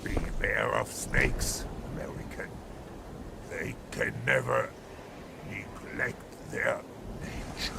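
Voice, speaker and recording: An actor playing a menacing, gloating Nazi officer with a German accent in a video game cutscene. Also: male voice, fairly low pitch, slow, vocal fry, heavy background noise, heavily acted. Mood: sinister. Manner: theatrical, barking, gloating. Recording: loud music behind the voice.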